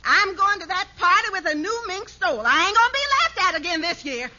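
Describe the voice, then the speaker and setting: An actress playing an exasperated, demanding wife, melodramatic and insistent, in a 1950s radio comedy. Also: female voice, very high-pitched, lively intonation, a little fast, pushed and bright, slight background noise, exaggerated voice. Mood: indignant. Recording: muffled old radio recording.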